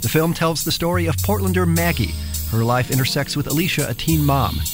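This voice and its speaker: A man reading a station promo announcement slowly and carefully. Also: fairly high pitch, music in the background. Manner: slow, careful read-out.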